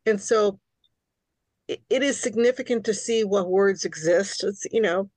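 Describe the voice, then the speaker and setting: A woman's voice: medium pitch, measured pace, darkish tone, no room sound. A woman in her sixties from Queens, New York, whose first language is Hungarian, talking animatedly as a guest in a podcast interview and often repeating words as she starts a thought. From New York City, Queens. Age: 60s. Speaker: guest author.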